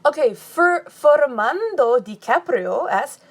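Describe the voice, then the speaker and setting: Young californian woman on a phone live stream, kinda high voice, reads out comments and laughs a lot. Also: expressive. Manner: chatty, reads comments aloud and answers with quick laughs. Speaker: vlogger answering live chat questions. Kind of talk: casual live-stream Q&A while eating.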